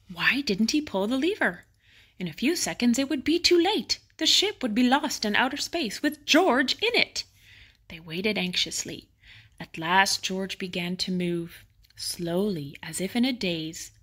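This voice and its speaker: A woman narrating a children's bedtime picture book expressively, with dramatic emphasis on exciting moments. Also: medium pitch.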